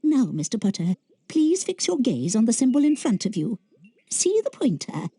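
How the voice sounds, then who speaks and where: A woman's voice: on the high side, sing-song, normal speed, warm tone, slightly rough, dry recording, exaggerated voice. A woman playing an encouraging, bustling British witch teacher giving a lesson in a video game. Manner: schoolteacherly, encouraging.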